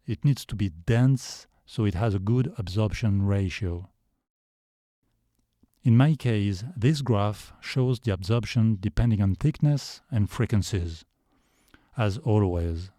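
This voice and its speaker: A man with a deep voice giving a calm, measured voice-over tutorial. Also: no room sound, studio quality. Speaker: video creator. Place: foam vocal booth.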